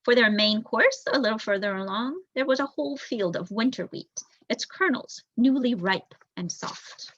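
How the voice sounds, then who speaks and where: A young woman reading a children's story aloud in an expressive narrating style. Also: medium pitch, no room sound, muffled recording. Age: young adult. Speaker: volunteer audiobook reader.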